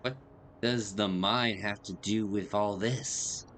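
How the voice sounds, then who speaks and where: Joking male gamer with a lowish voice. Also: steady tone, slow, fairly bright tone, slight background noise. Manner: joking, slangy. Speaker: gaming streamer. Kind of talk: casual playthrough commentary, hamming up dialogue choices.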